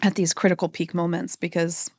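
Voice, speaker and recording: A woman's voice: lowish voice, level tone, brisk, darkish tone, no room sound, studio quality. A woman, a marketing executive chatting as a podcast guest, thinking aloud with fillers and "right?" tags. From United States. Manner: conversational, thinks aloud with fillers and tag questions. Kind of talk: casual interview conversation.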